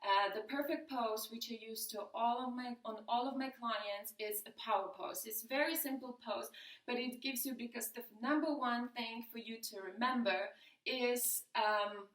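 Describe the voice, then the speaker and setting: A woman's voice: a little high, moderate pace, fairly bright tone, low-quality recording. Chatty woman teaching poses. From Lithuania. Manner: chatty and instructive, with frequent fillers and nervous laughs. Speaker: model and modeling coach. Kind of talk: live-stream posing tutorial. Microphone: phone camera.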